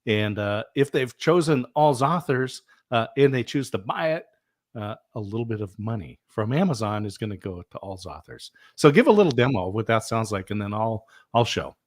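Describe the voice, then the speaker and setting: A man hosting a casual live stream, chatting in a relaxed way with frequent "uh" fillers. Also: on the low side, moderate pace, no echo, clean studio sound. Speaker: livestream host.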